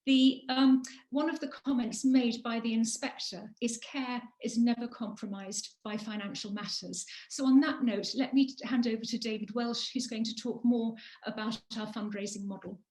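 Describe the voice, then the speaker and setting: A woman from a charity giving a measured, emphatic webinar presentation over a video call. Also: on the high side, steady tone, darkish tone, reverberant. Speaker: charity executive speaking on a panel.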